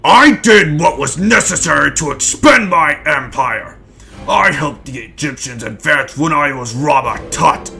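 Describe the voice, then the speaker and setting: A man's voice: fairly high pitch, expressive, measured pace, creaky, sharp tone, exaggerated voice. A man playing a menacing conqueror supervillain in an amateur comic-book dramatic reading, with cold, theatrical deliberation that turns to rage. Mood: defiant boasting. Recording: music behind the voice.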